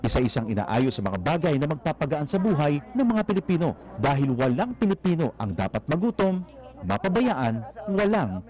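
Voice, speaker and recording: A man's voice: fairly high pitch, sing-song, moderate pace, a little mellow. A man with a Filipino accent reading scripted narration for a news feature.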